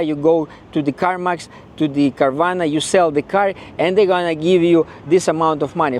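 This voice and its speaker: Ukrainian-accented man, fairly high voice. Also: darkish tone, slight background noise. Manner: blunt, regretful, repeats himself. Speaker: car owner vlogger. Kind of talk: casual vlog monologue.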